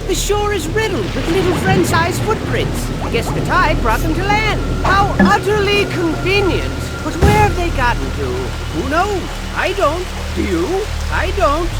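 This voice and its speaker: A man playing a mischievous, excitable storyteller in a cartoon video game, narrating with mock-grand theatrical drama. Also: extremely high pitch, heavily acted. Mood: curious, sarcastic. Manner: mock-grand, dramatic swings between hushed coziness and yelling. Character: excitable, mischievous male storyteller addressing children as gentlemen. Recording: music under the voice.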